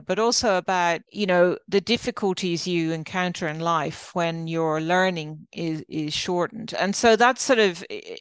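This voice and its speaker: A woman's voice: fairly low pitch, smooth, no echo. A middle-aged woman with an Irish accent, a professor reflecting on her work as an interview guest. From Ireland. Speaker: guest, university professor and researcher.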